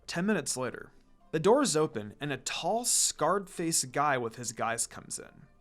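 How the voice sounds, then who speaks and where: A man's voice: a little high, big pitch swings, unhurried, a bit bright. A man reading a fan-written story aloud as a dramatic first-person narrator. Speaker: fanfiction reader. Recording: background music under the voice. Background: music.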